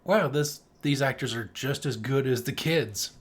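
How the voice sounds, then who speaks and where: A man's voice: medium pitch, measured pace, breathy edge. A man co-hosting a casual film review podcast, talking in a gushing, emphatic, sweary way. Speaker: podcast co-host.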